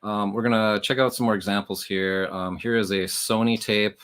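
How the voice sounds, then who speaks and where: A man with a fairly low voice hosts a livestream for resellers. He explains things casually and conversationally, addressing the viewers directly as he goes. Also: steady tone, moderate pace, a bit bright, clear, resonant tone.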